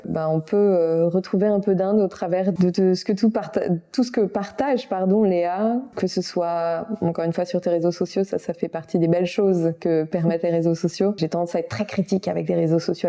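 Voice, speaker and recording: A woman's voice: fairly low pitch, mellow. A woman hosting a wellness podcast, chatting in a rambling way and correcting herself as she goes. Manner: friendly, rambling, self-correcting. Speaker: podcast host. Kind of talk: casual podcast interview.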